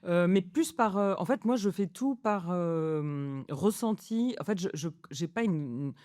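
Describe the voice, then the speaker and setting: Woman in her fifties, food journalist, chatty and hesitant, mellow tone, dry close mic. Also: fairly low pitch, lively intonation, measured pace, dry recording. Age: 50s. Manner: chatty, hesitant with many fillers, laughs easily. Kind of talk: casual conversation.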